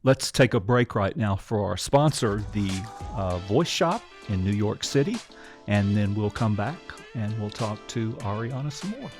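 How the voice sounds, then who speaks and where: A man hosting an interview, asking brief, measured questions. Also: on the low side, animated, music in the background. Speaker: host.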